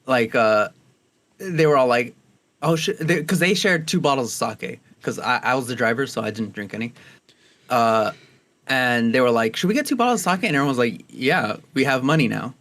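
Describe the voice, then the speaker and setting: A man's voice: medium pitch, animated, moderate pace, a bit bright. A young man in his twenties co-hosting a podcast, chatting casually and rambling through stories with frequent restarts. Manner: rambling, chatty, laughs easily. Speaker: podcast co-host. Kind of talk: casual chat.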